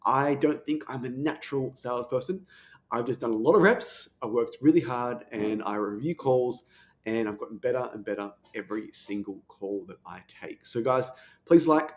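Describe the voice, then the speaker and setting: A man giving coaching commentary on sales technique, talking fast with the occasional stutter. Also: medium pitch.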